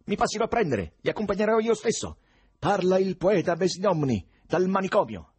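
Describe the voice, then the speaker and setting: Guy doing a worked-up poet stuck in an asylum, husky shouty voice, swoops high, indignant. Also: huge pitch swings, moderate pace, heavily acted. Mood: urgent, commanding. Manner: shouting, stammering, agitated outbursts. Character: frantic, indignant male poet held in an asylum.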